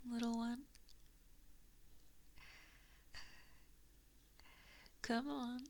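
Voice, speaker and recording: Woman as monstrous fox giantess, female, soft whisper, unhurried, sultry, sadistic teasing, sweetly menacing, ASMR roleplay, close binaural mic. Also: mid-range voice. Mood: teasing, patronizing. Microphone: close binaural microphone.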